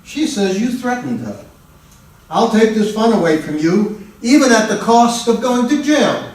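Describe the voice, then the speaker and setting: Man playing a high-voiced stern magistrate, echoey hall. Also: sing-song, unhurried, a little mellow. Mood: stern, insistent. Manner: insistent, declarative questioning. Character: stern, accusing magistrate interrogating a murder suspect. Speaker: reader playing the magistrate in a play. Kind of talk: dramatic stage reading. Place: large indoor room at a college festival reading. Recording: echoey hall, far from the mic.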